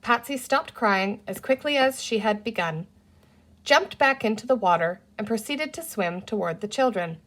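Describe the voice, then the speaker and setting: A woman narrating a children's adventure story as an expressive read-aloud storyteller. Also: fairly high pitch, moderate pace.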